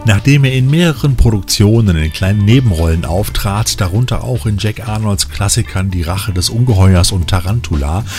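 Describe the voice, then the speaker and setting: A man's voice: fairly low pitch, lively intonation, normal speed, hardly any pauses. A man reading scripted narration as a podcast host. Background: background music.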